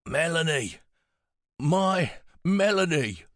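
A man voicing a frail, tired elderly war veteran who speaks in halting phrases with a croaky, wheezy voice. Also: fairly high pitch, lively intonation, a bit bright, no room sound, clean studio sound, voice pushed up. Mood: overjoyed, halting. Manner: halting, short slow phrases.

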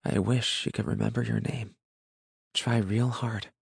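A man voicing a motherly country wife in an audiobook, speaking in a soft, tender, worried manner. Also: on the low side, measured pace, slightly rough, no echo, clean studio sound, voice pushed up. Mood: tender, hushed. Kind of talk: audiobook character dialogue.

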